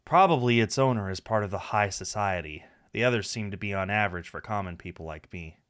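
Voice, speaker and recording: A man's voice: fairly low pitch, a little fast, fairly bright tone, clear, resonant tone. A man in his thirties, a game streamer, reading visual novel narration aloud. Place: indoor room, music behind the voice.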